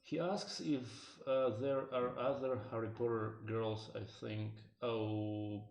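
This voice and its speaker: A man's voice: mid-range voice, fairly even intonation, takes it slowly, gravelly, a little mellow, low-quality recording. A man streaming live, chatting casually, answering chat with lots of fillers and joking in broken learner Japanese. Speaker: streamer and game developer.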